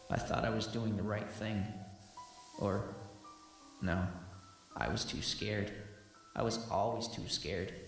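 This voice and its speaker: Dramatic narrator, male actor: ashamed pony worker, low gravelly voice, grave and confessional. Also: a bit bright, heavily acted, poor audio. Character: guilt-ridden adult pony factory worker, ashamed, resigned. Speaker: first-person narrator.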